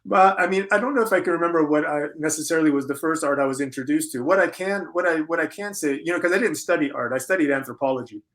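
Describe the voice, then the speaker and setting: A middle-aged man with an American accent speaks reflectively in an interview, pausing thoughtfully and rephrasing as he goes. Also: on the high side, fairly bright tone. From United States, Florida. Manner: halting, thoughtful, repeats and rephrases. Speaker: interview guest, conceptual artist.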